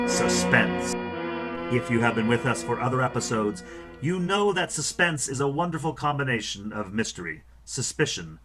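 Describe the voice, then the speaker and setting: A man playing an ominous host of a vintage radio suspense show, speaking portentously with dramatic pauses over music. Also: medium pitch, a bit bright, lightly acted. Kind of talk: 1940s-style radio narration, theatrical.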